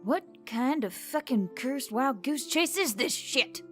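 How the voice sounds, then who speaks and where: A woman voicing a tense, gruff, haunted woman diver in a narrated story, speaking tersely. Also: high-pitched, expressive, slow, faint music behind, pitched above the performer's own voice. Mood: dark, frustrated. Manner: terse, hissing and growling under stress.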